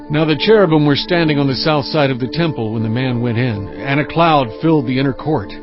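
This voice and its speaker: Man narrating scripture over music. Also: a little high. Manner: measured, steady storytelling delivery. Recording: dull lo-fi audio with music bed.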